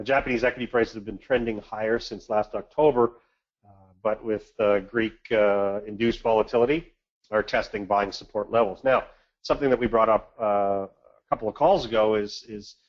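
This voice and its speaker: A man giving a measured, businesslike market briefing as an investment manager on a conference call, speaking in a halting, hesitant way. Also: fairly low pitch. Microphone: conference call line. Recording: dull, band-limited conference call audio.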